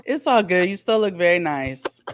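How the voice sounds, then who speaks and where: A woman's voice: lowish voice, lively intonation, normal speed, a crowd in the background. A woman in her forties co-hosting a casual podcast chat, who laughs often and reads out viewer comments. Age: 40s. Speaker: podcast co-host.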